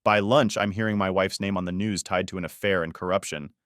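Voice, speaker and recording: A man's voice: sits low, steady tone, fairly quick, fairly bright tone, no room sound, clean studio sound. A man playing a bitter, betrayed husband in an audiobook, icy, sarcastic and accusing. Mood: hurt, doubting.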